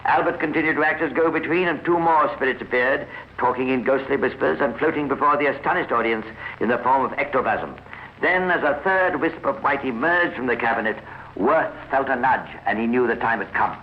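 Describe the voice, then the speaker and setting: A man giving measured, wry scripted narration for a 1950s radio crime drama, muffled and hissy. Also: mid-range voice.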